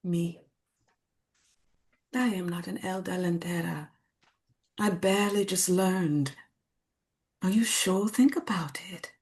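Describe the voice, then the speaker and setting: An actress playing a firm, traditional village woman in a staged drama reading, blunt and deliberate with her old friend. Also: fairly low pitch, a little mellow. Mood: hesitant, subdued. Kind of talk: staged script read of a drama.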